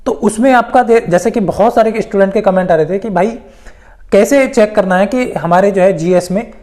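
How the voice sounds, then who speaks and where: Casting: presenter, mid-pitched voice, informal exam-update explainer, emphatic, repeats points, mixes in English terms. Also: normal speed, darkish tone. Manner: repetitive, emphatic explaining with English loanwords mixed in.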